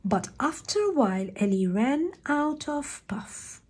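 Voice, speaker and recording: Animated woman reading a picture book. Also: sits high, measured pace. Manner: expressive, animated storytelling. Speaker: storyteller.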